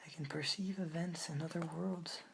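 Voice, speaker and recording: A woman doing a soft-spoken ASMR poetry reading in a breathy, unhurried whisper. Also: deep voice, steady tone. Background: hiss, clicks from tapping and page turning.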